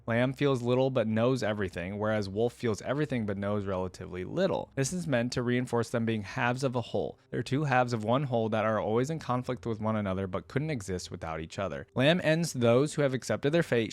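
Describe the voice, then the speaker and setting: Male reaction creator, medium pitch, informal and inquisitive, reads aloud with off-the-cuff commentary, very dry recording. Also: no room sound. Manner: musing aloud, curious, informal asides. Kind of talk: casual reaction commentary, reading lore aloud.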